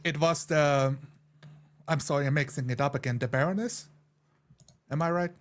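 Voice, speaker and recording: A man's voice: fairly high pitch, moderate pace. A man chatting casually as a player on a livestreamed tabletop game, talkative, with a wry, joking manner.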